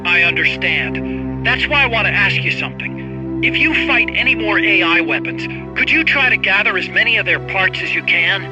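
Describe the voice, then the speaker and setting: A man's voice: high-pitched, expressive, normal speed, over music, poor audio. An actor playing an earnest, polite male weapons engineer in a video game, eager and deferential. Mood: earnest, persuasive. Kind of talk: video game cutscene acting.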